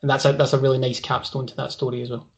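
A man's voice: mid-range voice, completely flat delivery, very fast, a little hiss or hum. A man, a guest on a football podcast, talking at length in careful analysis and repeating words as he thinks. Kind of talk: casual football podcast chat.

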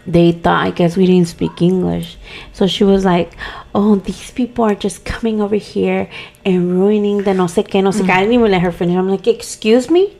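A woman with a gravelly, fry-tinged voice and a Mexican accent, co-hosting a casual podcast. She tells blunt, animated stories and swears freely. Her pitch sits in a middle range. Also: normal speed. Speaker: podcast co-host. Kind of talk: casual chat mixing English and Spanish.

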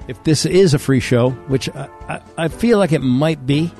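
A man's voice: a little high, normal speed, dark tone, faint music behind. A man hosting his own podcast, rambling and self-mocking in casual studio banter, laughing often. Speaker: podcast host. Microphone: studio microphone.

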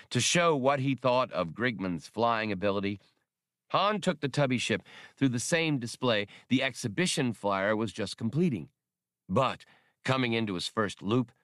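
A man narrating an audiobook in an expressive, animated reading style. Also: medium pitch, no echo, clean studio sound.

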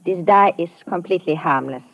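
An actress playing a skeptical, confident industrial chemist in a radio drama, measured and formal. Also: female voice, on the low side, creaky, dull audio. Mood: assured. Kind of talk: old-time radio drama acting.

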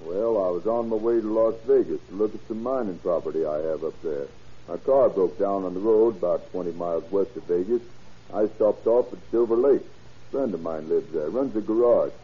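A folksy courtroom witness with a low, husky voice. He is plain and respectful, in vintage radio acting with dulled sound. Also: moderate pace. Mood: plain, earnest. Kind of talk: old-time radio drama acting. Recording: dull vintage radio recording.

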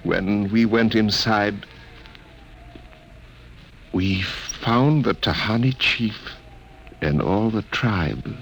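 An actor playing a dazed, injured scientist speaking haltingly in an old-time radio drama. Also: male voice, on the low side, a bit bright, full character voice. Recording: faintly noisy old radio recording.

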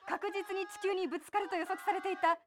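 A woman's voice: very high voice, fairly even intonation, fast. A woman playing a newsreader in a radio drama, making emphatic, formal breaking-news announcements. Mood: elated, urgent. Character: female newsreader breaking miraculous end-of-world reprieve.

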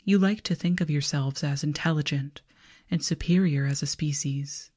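Woman as a low, whispering alien girl researcher. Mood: musing, condescending. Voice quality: soft, breathy whisper. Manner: slow, soothing, formal, clinically detached. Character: calm, politely condescending alien girl researcher. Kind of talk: ASMR roleplay, hypnotic whispering and soft speech.

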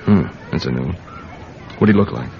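An actor playing a brisk, wry intelligence agent in an old-time radio drama, dry and probing in manner. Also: male voice, sits low, animated, gravelly, a faint crowd. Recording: muffled, noisy old radio recording.

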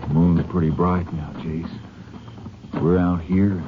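A cautious western lawman, the hero's partner, in a 1950s radio drama. He sounds low, folksy and laconic, with an American accent. The old recording is muffled and clearly noisy. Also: level tone, takes it slowly. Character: wary, plain-spoken male lawman, the Ranger's trail partner.

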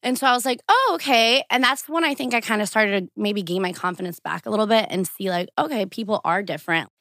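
A woman's voice: medium pitch, fast talker, fairly bright tone, no echo. Young brazilian-born woman, rambly casual podcast guest, dry close mic. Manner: chatty, lots of "like", laughs often.

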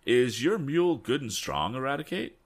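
A man's voice: mid-range voice, very animated, moderate pace, pitched above the performer's own voice. A man voicing a practical, slightly testy storybook balloonist in an assertive, matter-of-fact way. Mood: inquiring.

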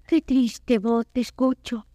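An actress playing a proud, troubled noblewoman traveller, declaiming classical verse in a grand, measured way. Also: on the high side, fairly even intonation, dark tone, no echo, studio quality. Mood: awed dread.

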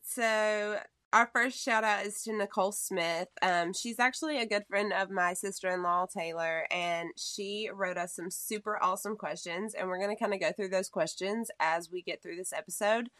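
A woman co-hosting a casual podcast, chatty and quick to laugh. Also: medium pitch, bright, forceful tone, dry recording. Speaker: podcast co-host.

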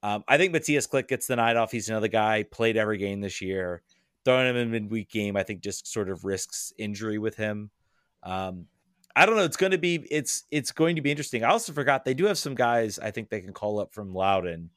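A man's voice: lowish voice, lively intonation, fairly quick, fairly bright tone, smooth, dry recording, clean studio sound. A man talking casually as a podcast guest, hesitant, with frequent ums and restarts. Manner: hesitant, lots of ums and repeated words.

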